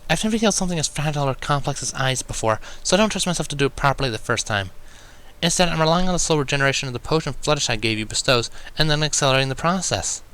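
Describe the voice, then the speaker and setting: A man's voice: fairly high pitch, animated, racing, pushed and bright, clear, resonant tone, pitched above the performer's own voice. A man voicing a studious young female unicorn who explains things in a careful, earnest, bookish way.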